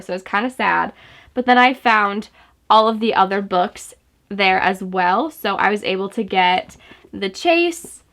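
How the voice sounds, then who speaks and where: A young woman chats to her viewers at home, upbeat and gushing, with a gravelly edge of fry running through her speech. She sounds a touch stuffy from a sore throat. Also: mid-range voice, a bit bright. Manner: gushing, enthusiastic, chatty with fillers. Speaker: book vlogger. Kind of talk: casual book haul chat to camera. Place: home room in front of bookshelves.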